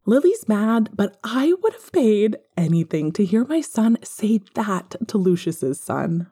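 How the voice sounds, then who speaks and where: A woman voicing a gleeful, gossipy young father in an informal, animated way for a story reading. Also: medium pitch, measured pace, slightly rough, soft background music, full character voice. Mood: amused.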